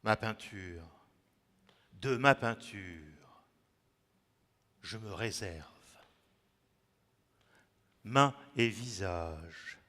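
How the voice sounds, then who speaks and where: Male poet, declamatory and theatrical, bright forceful tone, swinging hushed to loud, in a reverberant gallery. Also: lowish voice, expressive, extremely slow, long pauses. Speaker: poet performing his own text. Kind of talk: theatrical poetry recital. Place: large echoing museum gallery, indoors. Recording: reverberant hall echo.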